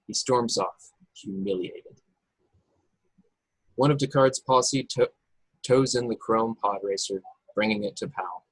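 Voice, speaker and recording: A man's voice: fairly low pitch, breathy edge, no echo, rough recording. A man reading scripted action narration and stage directions at an online table read. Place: indoors, remote video call table read.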